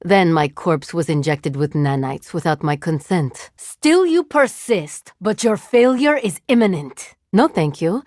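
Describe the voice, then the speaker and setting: A reanimated woman agent in a video game, commanding her drones with unhurried clinical calm. Every line comes out precise, formal and flatly deadpan, pitched a little low. It is recorded close and completely dry, with no room around it. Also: no echo. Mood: combat cool. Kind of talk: game voice lines. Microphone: close studio mic.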